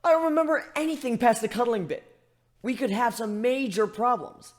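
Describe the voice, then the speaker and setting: A man's voice: very high voice, normal speed, pitched above the performer's own voice. A man voicing an anxious, easily flustered young male griffin in a story reading. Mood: panicked. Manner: dramatic, flustered.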